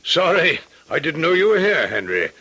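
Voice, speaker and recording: A man's voice: a little high, very animated, moderate pace, bright, forceful tone, breathy edge, heavily acted. A man playing a courteous older doctor and father in an old radio drama. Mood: apologetic, courteous.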